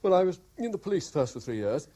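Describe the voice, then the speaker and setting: Anxious, stammering middle-aged lawyer. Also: male voice, a little high, very animated, fast talker, mellow. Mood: nervously affable. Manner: stammering, hesitant, apologetic. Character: anxious, hesitant middle-aged French lawyer, former policeman. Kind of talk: 1970s radio drama acting. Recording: hissy vintage radio broadcast.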